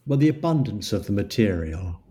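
A man answering interview questions about his book in a measured, halting way, with frequent restarts. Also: fairly low pitch, big pitch swings, dark tone, breathy edge. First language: English. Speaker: author guest.

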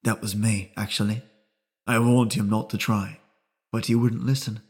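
A man reading aloud and voicing a sullen, weary young drifter who speaks in a low, rustic way. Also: level tone, normal speed, darkish tone, breathy edge. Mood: weary, somber. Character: sullen young male drifter, weary beyond his years.

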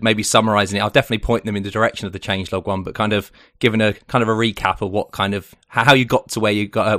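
A man's voice: fairly low pitch, a bit bright, dry recording, clean studio sound. A man hosting a podcast, introducing a guest in rambling casual speech. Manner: rambling, loose phrasing with fillers. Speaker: podcast host.

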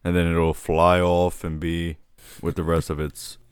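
A man's voice: sits low, level tone, measured pace, clear, resonant tone, no room sound, clean studio sound. A man co-hosting a podcast in a casual, laid-back way, often calling his co-host dude.

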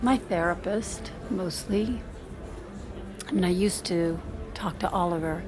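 A woman's voice: on the low side, measured pace, music in the background, natural voice. An actress playing a hesitant, candid working mother who speaks self-consciously, in a naturalistic audio drama.

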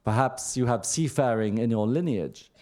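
A man's voice: mid-range voice, moderate pace, a little mellow, close to an everyday voice. A man voicing a vain, self-assured, burly young emigrant in a novel reading, speaking tersely.

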